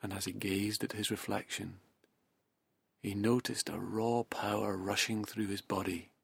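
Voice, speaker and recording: Slow husky whispering hypnotist man. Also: lowish voice, flat delivery. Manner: soft, slow, half-whispered. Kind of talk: guided hypnosis narration.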